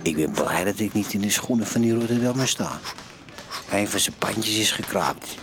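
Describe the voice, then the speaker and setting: An actor narrating a radio drama in a colloquial, wry storytelling manner. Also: male voice, on the low side, animated, normal speed, natural voice.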